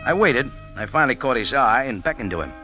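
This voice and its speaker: A man's voice: mid-range voice, sing-song, quick pace, natural voice. An actor playing a wry, tough American cafe owner and sleuth, telling his story in punchy hardboiled radio-drama style. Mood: wry, watchful. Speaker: lead character and narrator. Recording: old radio recording with background music.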